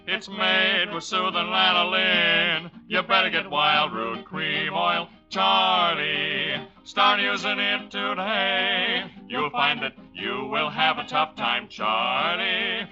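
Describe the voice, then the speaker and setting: Old radio jingle, guy singing high and unhurried, dull sound with music behind. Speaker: jingle singer. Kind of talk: sung 1940s radio advertising jingle.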